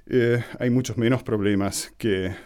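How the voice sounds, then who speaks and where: A man from Argentina with an Argentine accent, speaking as an interview guest in a measured, explanatory way with hesitant pauses. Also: mid-range voice, fairly even intonation, warm tone, smooth. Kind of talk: conversational radio interview monologue.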